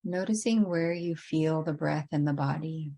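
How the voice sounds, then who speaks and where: A woman leading a guided meditation in an unhurried, gently inviting way with long pauses. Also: sits low.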